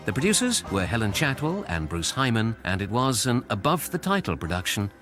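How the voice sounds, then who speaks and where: Animated British male radio announcer. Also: medium pitch, brisk, over music. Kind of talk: scripted closing credits announcement.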